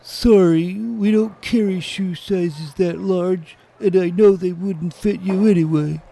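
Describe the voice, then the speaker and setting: Man doing a high, creaky, prickly cryptozoologist. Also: fairly even intonation, takes it slowly, dark tone, heavily acted, rough recording. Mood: prickly, offended. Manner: stiff, pedantic, hesitant. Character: big middle-aged cryptozoologist, prickly, literal-minded, wary recluse. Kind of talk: audio drama dialogue.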